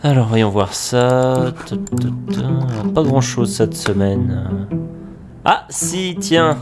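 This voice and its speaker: A middle-aged man acting a grumpy, sarcastic forum administrator in a comic audio drama. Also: mid-range voice. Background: background music.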